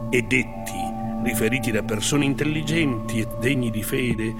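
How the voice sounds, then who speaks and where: A man's voice: medium pitch, lightly acted. A man reading as a defiant Renaissance philosopher. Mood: solemn. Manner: measured, declamatory. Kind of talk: solemn dramatic reading of an old text. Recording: voice over loud music.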